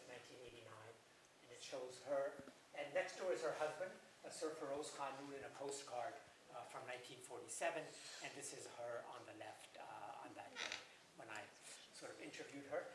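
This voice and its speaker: A man's voice: medium pitch, brisk, background noise. A man speaking to a festival audience, chatty and digressive as he introduces recorded clips. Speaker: festival speaker presenting an interview. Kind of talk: informal talk to an audience. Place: event hall. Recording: echoey hall, voice from a distance.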